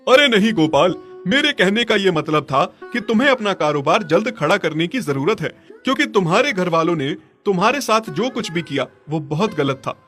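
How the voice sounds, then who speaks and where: A man's voice: high voice, animated, moderate pace, fairly bright tone. A man voicing a practical, pushy neighbour who gives insistent advice in a cartoon story. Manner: insistent, advising. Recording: music behind the voice.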